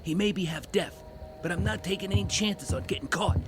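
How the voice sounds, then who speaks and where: An actor playing a cocky, aggressive young street thief in an audio drama, brash, slangy and bossy. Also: male voice, high voice, brisk, over loud music, close to an everyday voice.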